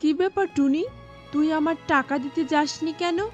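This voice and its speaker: A woman's voice: high voice, fairly even intonation, normal speed, darkish tone, faint music behind, natural voice. A woman voicing a stern, pushy money-lending pigeon in a children's cartoon, curt and bossy, on dull audio. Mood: threatening.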